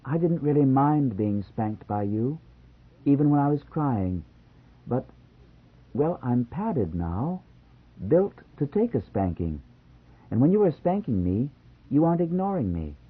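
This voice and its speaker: Brief: man reading as a playful coaxing wife, raised husky voice, tinny recording. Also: medium pitch, very animated, a little slow, pauses often, voice pushed up. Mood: affectionate teasing. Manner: coaxing, teasing. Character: affectionate, playful older wife, woman. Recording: tinny phone-like lo-fi sound.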